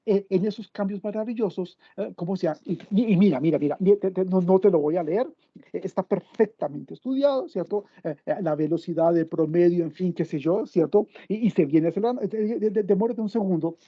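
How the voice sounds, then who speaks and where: A man lectures over a video call in a husky, slightly breathy voice, stumbling through fillers and restarts while he keeps checking in with the listener. His computer-mic audio is dull and lo-fi, with a dry sound and no room echo. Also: high voice. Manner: halting, full of fillers and restarts; addresses listener directly with tag questions. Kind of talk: academic online lecture.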